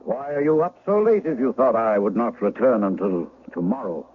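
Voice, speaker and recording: An actor playing a jealous, menacing aristocratic husband in a theatrical, formal 1970s radio drama style. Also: male voice, medium pitch, expressive, moderate pace, husky. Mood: cold suspicion. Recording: tinny, narrow-band old radio recording, faint noise.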